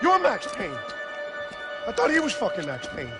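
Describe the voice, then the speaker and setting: An agitated gangster, very high in pitch and swinging widely as he threatens. He is aggressive and foul-mouthed, a game thug with music behind him. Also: huge pitch swings, normal speed. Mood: menacing, agitated. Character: hot-headed, foul-mouthed male street gangster making threats. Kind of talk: video game cutscene acting.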